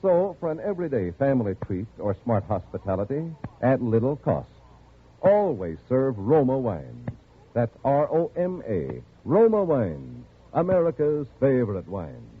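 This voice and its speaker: A man's voice: medium pitch, wildly swooping pitch, a little slow. A man working as a 1940s radio announcer, delivering scripted sponsor copy with polished, emphatic salesmanship. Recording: noisy, narrow-band old radio broadcast.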